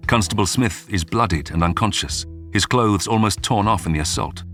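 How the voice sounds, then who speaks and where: A man reading scripted true-crime narration in a measured, dramatic way with suspenseful pauses. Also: lowish voice, fairly bright tone. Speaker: documentary narrator. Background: background music.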